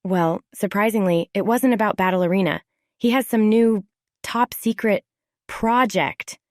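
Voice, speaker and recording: A woman's voice: mid-range voice, unhurried, darkish tone, no echo, clean studio sound, voice pushed up, close to an everyday voice. A woman voicing an eager, earnest teenage girl who works construction, in an audio story. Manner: eager, direct.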